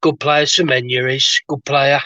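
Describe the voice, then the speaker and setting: A man's voice: medium pitch, level tone, unhurried, bright, forceful tone. Weary man, dull dry sound. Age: 30s. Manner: weary, sighing, short echoing replies. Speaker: guest. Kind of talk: casual chat.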